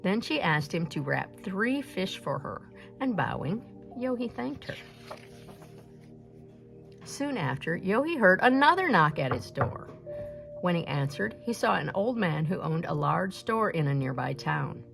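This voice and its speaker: Animated woman storyteller with slightly creaky voice. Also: on the low side, unhurried, faint music behind. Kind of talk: expressive scripted folktale read-aloud.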